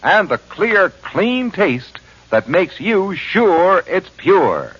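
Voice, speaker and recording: A man's voice: sits high, wildly swooping pitch, extremely slow, fairly bright tone, husky. An American man announcing in 1940s radio advertising style, with polished, emphatic salesmanship. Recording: muffled 1940s radio recording.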